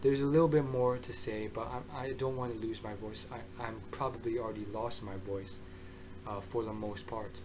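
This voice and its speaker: A man giving a casual, rambling review monologue, hoarse and excitable, often restarting his sentences. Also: medium pitch, normal speed, low-quality recording. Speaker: manga reviewer.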